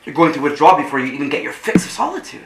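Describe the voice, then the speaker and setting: A man's voice: a little high, very animated, rapid-fire. Actor as a solemn philosophizing man, echoey room. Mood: emphatic, certain. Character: solemn philosophizing confidant preaching about loneliness. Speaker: actor in a staged play reading. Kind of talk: theatrical staged reading. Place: room at a live staged reading. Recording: echoey room, clear background noise.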